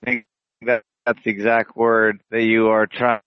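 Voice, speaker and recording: A man co-hosting an online call show, chatting in a relaxed way. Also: on the low side, normal speed, clean tone, no room sound. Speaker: co-host. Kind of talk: casual online chat. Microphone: video call. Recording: dry lo-fi call audio.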